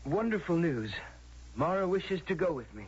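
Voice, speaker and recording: A desperate man in an old radio drama, coaxing and urgent. His voice is fairly high and very husky, swinging in pitch, on a muffled broadcast recording. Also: very animated, moderate pace, exaggerated voice. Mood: nervous insistence.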